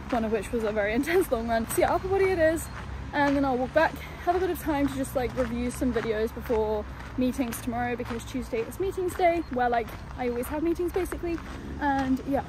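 A woman's voice: high voice, background noise. A young woman in her twenties with an English accent, chatting casually in a vlog and rambling with lots of fillers. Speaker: vlogger.

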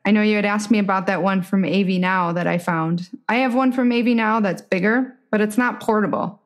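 A woman's voice: mid-range voice, fairly quick. Woman podcast host, casual and talkative, mid-range voice. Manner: chatty, asks questions with brief affirmations. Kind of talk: casual interview chat. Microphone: home podcast mic.

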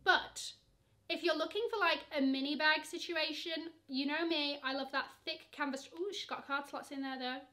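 A woman's voice: high-pitched, steady tone, normal speed, sharp tone. A young woman chatting through luxury online shopping, sassy and gushing, calling bags "she" while seated at her camera. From England. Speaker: fashion vlogger. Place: bedroom with shelves of shoes.

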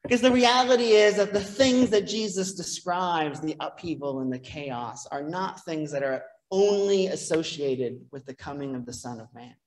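A man's voice: a little high, moderate pace, hardly any pauses. Middle-aged man preaching in a reverberant church. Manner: conversational, self-deprecating preaching with asides. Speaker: priest. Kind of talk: sermon. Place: church sanctuary, behind a pulpit.

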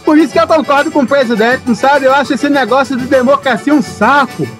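A man's voice: very high voice, quick pace, fairly bright tone, soft background music. A man acting in an audio drama as a brash, whiny, foul-mouthed political candidate with a grumbling, blustering manner.

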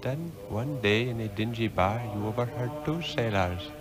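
A man's voice: mid-range voice, expressive, moderate pace, lightly acted. A man as solemn old mystic master, music behind. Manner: solemn, formal, portentous. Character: wise, aged mystic master, calm and knowing. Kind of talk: 1960s radio drama acting. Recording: old radio drama recording under loud music.

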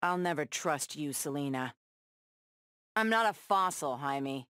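A tough cocky superheroine in a fighting game, lowish voice, really lively swings, sassy taunts. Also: female voice, a little slow, no room sound, studio quality. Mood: stern, reproachful. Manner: sassy, taunting, punchy one-liners. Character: tough, cocky adult superheroine brawler, sardonic and defiant. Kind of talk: video game fight banter.

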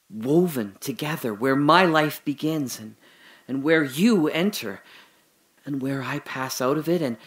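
An actor playing a dying father who speaks hushed, halting, awed last words to his family in an audio drama. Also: fairly high pitch. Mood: wistful.

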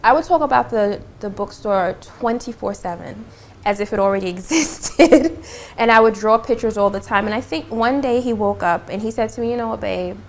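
A woman's voice: fairly high pitch, normal speed, a little hiss or hum. Earnest woman interviewed in her bookstore. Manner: earnest, animated, with fillers like "um" and "you know". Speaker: bookstore owner. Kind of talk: on-camera interview. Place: small indoor bookstore.

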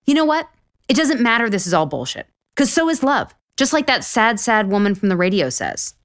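A reluctant love expert in an audio comedy. She sounds sardonic and incredulous, with very lively pitch. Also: brisk. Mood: exasperated, sarcastic. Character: exasperated, sarcastic woman author, reluctant love expert. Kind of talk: audio drama comedy acting.

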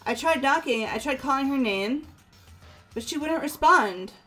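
Visual novel schoolgirl played by a woman: high-pitched, very animated, anxious, excitable, light music bed. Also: measured pace, exaggerated voice, poor audio. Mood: frightened. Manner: pleading, flustered. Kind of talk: visual novel dub dialogue. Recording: background game music.

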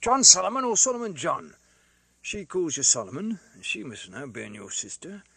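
A hoarse, blunt working-class man pressing his point in rough colloquial speech, as a male reader puts him on. The voice is bright and lively in pitch. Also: moderate pace, no echo, exaggerated voice. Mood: insistent.

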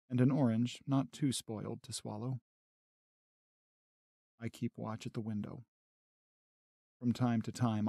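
A man playing a haunted astronomy professor and lone survivor, narrating his journal in a brooding, deliberate, theatrical way. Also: mid-range voice, vocal fry, darkish tone, no echo, studio quality. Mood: grim weariness. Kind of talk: dramatic first-person journal narration.

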